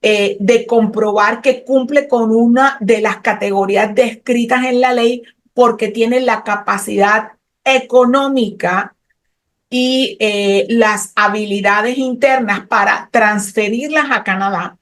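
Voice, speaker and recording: A woman's voice: a little high, fairly even intonation, takes it slowly. A middle-aged woman from Colombia, talking passionately and technically about immigration law in an interview, with frequent hesitant fillers. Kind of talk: explanatory interview talk.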